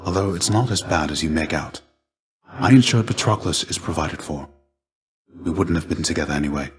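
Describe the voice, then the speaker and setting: A man acts out a fallen Greek hero turned loyal mentor in a video game. His voice sits low, and he speaks softly in measured, formal, old-fashioned phrases. He carries a gentle melancholy and an intimate, confiding manner. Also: natural voice. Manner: soft-spoken, formal, archaic phrasing.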